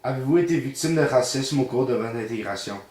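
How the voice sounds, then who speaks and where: A man's voice: mid-range voice, lively intonation, brisk, a little mellow. Male interviewer, mid voice, plain direct delivery, echoey room. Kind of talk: reading interview questions.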